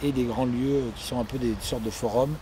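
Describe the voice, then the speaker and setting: A man with a mid-pitched voice explains a project to visitors in an informal way. He pauses often to find his words. Urban noise and vehicles are clearly heard around him. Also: steady tone. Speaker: local official presenting a building project. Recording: noisy outdoor urban ambience, vehicles.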